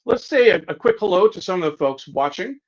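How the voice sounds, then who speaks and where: A man co-hosting a livestream, making announcements and greeting viewers in a casual, halting way. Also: sits high, lively intonation. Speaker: co-host of a news discussion livestream. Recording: dull, lo-fi livestream audio.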